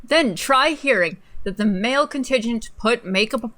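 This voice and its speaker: A young woman with a fairly high voice co-hosts a livestream from home. She reads aloud theatrically and mockingly, slipping into casual, playful banter. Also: lively intonation, moderate pace, bright, forceful tone. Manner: giggly, playful, theatrical when reading aloud. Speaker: livestream co-host. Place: indoors at a desk in a home streaming setup.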